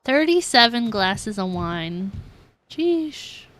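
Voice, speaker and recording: A woman's voice: a little high, big pitch swings, slow pace, fairly bright tone. A woman game streamer giving casual, reactive live commentary as she plays. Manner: giggly, reactive.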